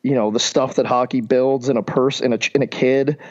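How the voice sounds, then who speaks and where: A man's voice: medium pitch, steady tone, a little fast, a little mellow, dull audio. A man co-hosting a hockey podcast, jokey and boastful, full of casual slang. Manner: jokey, boastful, says dude and bro. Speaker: podcast co-host, former college player.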